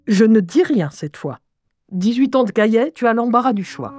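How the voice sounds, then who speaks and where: An unhurried young woman played by an actress confides her memories over music. She is introspective and dryly ironic, narrating an audio drama in a deliberate way. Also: mid-range voice, a little mellow, close to an everyday voice. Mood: reflective, uneasy. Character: wry, introspective young woman recalling her troubled childhood. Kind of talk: scripted first-person audio drama narration.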